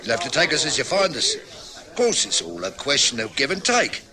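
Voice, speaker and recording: A man's voice: mid-range voice, big pitch swings, brisk, a crowd in the background, natural voice. Male actor voicing a sly, chummy London husband, pointed probing tone, for a radio play. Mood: wheedling. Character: sly working-class London husband, spendthrift drinker. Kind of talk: 1950s radio drama acting.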